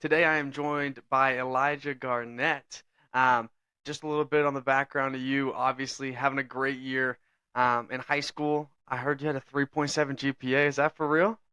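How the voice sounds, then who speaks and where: Brief: young male podcast host, easygoing, crisp bright tone, dry close sound. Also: medium pitch, little pitch movement, normal speed, no echo. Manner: easygoing, asks rambling questions. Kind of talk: casual interview.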